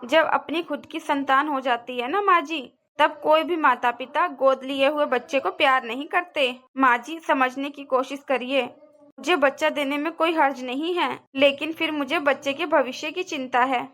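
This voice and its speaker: Woman playing young daughter-in-law, high-pitched, polite, worried, earnest, pleading, cartoon family drama. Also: normal speed, fairly bright tone.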